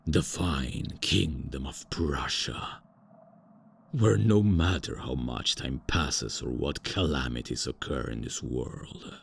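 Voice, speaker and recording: A man's voice: slight background noise, heavily acted. A man voicing an ancient, arrogant male vampire lord in an ASMR roleplay drama, speaking in a pompous, grand manner. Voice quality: very deep, gravelly, slow and pompous.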